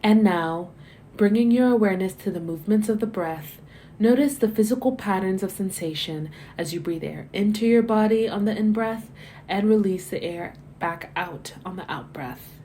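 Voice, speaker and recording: A woman with a dark, mellow voice leads a guided meditation. She gives gentle, measured instructions in a soothing way, letting phrase endings fall soft. A little noise sits behind her. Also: mid-range voice. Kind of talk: guided body scan meditation, scripted narration.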